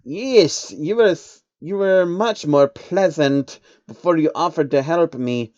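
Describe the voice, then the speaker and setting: A man voicing a naive, excitable male insectoid pony creature from a fan storybook reading, curious and wide-eyed. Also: on the high side, slow, dull audio, voice pushed up. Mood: grumbling.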